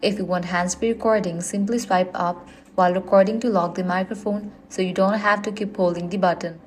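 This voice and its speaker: A woman's voice: medium pitch, fairly even intonation, brisk, slight background noise. A woman reading a scripted how-to guide in an instructional, step-by-step manner. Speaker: tutorial narrator.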